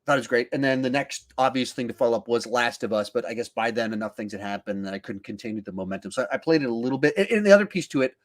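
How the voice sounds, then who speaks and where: A man hosting a podcast in casual discussion, acting out his reactions in animated asides. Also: mid-range voice, rapid-fire, hardly any pauses. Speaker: podcast host.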